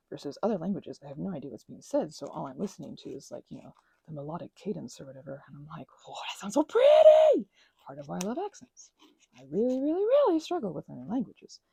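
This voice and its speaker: Woman, young adult, rambling craft chat, mellow husky tone, lively swooping pitch. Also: low-quality recording. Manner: chatty, rambling, drifts between soft whispers and louder asides. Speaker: ASMR creator.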